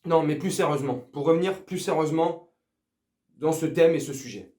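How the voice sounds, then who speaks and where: Male football vlogger, high voice, crude confrontational banter, roomy echo. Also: normal speed, mellow, slight background noise. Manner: crude, slangy, teasing, confrontational. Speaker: football fan video host. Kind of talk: casual ranting commentary to viewers. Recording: echoey room.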